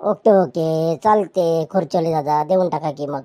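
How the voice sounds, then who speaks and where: A woman's voice: sits low, moderate pace, dark tone. A woman voicing a chatty village customer who rambles eagerly and haggles. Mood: eager haggling.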